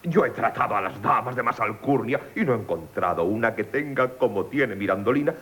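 Actor playing a pompous Spanish marquis, wildly swooping delivery. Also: male voice, mid-range voice, expressive, fairly quick, a bit bright, slight background noise, close to an everyday voice. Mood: admiring, boastful. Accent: Castilian Spanish. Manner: pompous, indignant, repeats himself. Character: pompous impoverished marquis, proud of his nobility, jealous suitor. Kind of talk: theatrical 1970s radio comedy acting.

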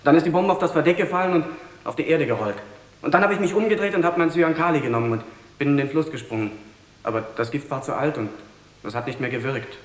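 An actor playing a captured bomb-thrower giving a sober, halting, matter-of-fact confession in a German radio drama. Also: male voice, a little high, roomy sound, lightly acted. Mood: grim, resigned.

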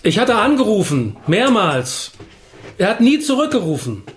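A man's voice: high-pitched, very animated, normal speed. A man acting in a radio drama, playing an awkward visitor who asks hesitant but probing, reproachful questions.

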